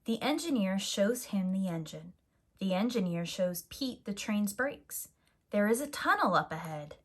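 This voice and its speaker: A woman reading a children's picture book aloud as a teacher, in a deliberate, animated way for young listeners. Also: mid-range voice.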